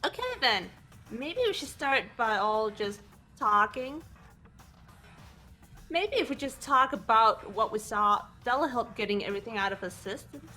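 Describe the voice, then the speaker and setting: A woman's voice: on the high side, lively intonation, measured pace, pushed and bright, faint music behind. A woman voicing a chatty, curious teenage girl in an amateur game dub.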